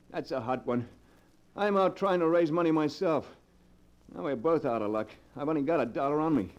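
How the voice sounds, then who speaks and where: An actor playing a desperate, bitter American locksmith in a 1940s radio melodrama, with an urgent, halting delivery. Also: male voice, on the high side, darkish tone, lightly acted. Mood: startled, resigned. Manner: urgent, halting when cornered. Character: desperate, bitter working-man locksmith husband. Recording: dull old radio recording.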